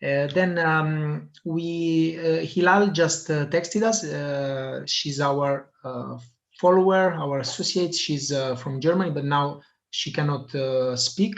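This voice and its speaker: A man's voice: fairly high pitch, slow. A man hosting an online meeting, hesitant, with many filler sounds. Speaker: meeting host. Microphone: video call. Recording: muffled lo-fi video call audio.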